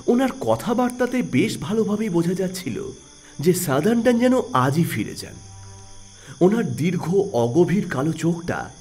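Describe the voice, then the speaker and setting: A man's voice: a little high, a little mellow. A man narrating a scripted audio story in a dramatic storytelling style.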